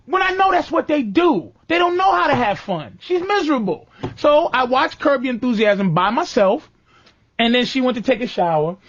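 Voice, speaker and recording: A man's voice: extremely high pitch, big pitch swings, normal speed, a bit bright, lo-fi sound. A man hosting a radio show, ranting comically and profanely about relationships and mimicking his girlfriend in whiny voices. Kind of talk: comedic relationship rant.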